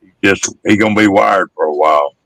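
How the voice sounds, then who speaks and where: A man with a deep, damaged, gravelly voice, chatting casually in a folksy, rural storytelling way. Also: a little fast, a bit bright, no echo, poor audio. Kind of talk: casual chat.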